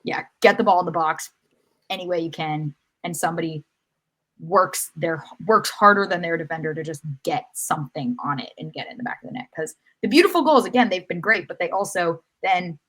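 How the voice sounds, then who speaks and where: A woman with a slightly low-pitched voice talks through sports analysis in a casual podcast style. She explains in a conversational way, revising her wording as she thinks aloud. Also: a little fast, fairly bright tone. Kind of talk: casual soccer match analysis.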